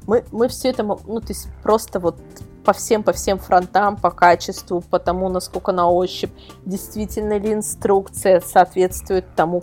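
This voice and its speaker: A woman's voice: on the low side, darkish tone. Woman guest on a talk show, casual reviewer explaining stuff, kinda rambly, repeats for emphasis. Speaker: guest, website editor and product reviewer. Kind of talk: conversational interview explanation.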